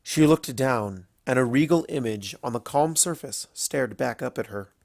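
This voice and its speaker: A man's voice: medium pitch, normal speed, creaky, a little mellow, no echo, clean studio sound. A man reading scripted fan fiction as a storyteller.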